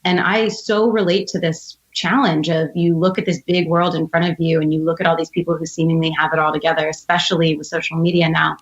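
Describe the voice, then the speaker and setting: Woman, thirties, American accent, low pitch, reflective and articulate, conversational interview, slightly muffled recording. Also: flat delivery, a little fast, hardly any pauses. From United States, outside Philadelphia. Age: 30s. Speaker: podcast guest, entrepreneur.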